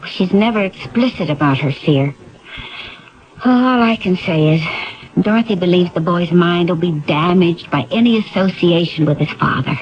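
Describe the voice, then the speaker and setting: A woman's voice: on the low side, normal speed, close to an everyday voice. An actress playing an anxious, loyal woman confidante in a 1970s radio drama, speaking earnestly and dramatically. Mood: worried, confiding. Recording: dull lo-fi 1970s radio broadcast.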